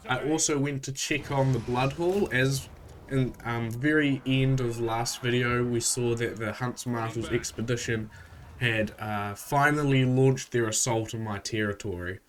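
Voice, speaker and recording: A man with a stuffy voice from a cold, hosting a casual, relaxed recap of a strategy game with frequent fillers. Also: medium pitch, measured pace. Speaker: gaming channel host.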